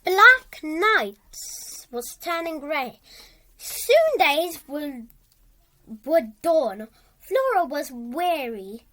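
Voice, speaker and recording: A child reading a storybook aloud with dramatic emphasis, halting and repeating words along the way. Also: high voice, lively intonation, pushed and bright.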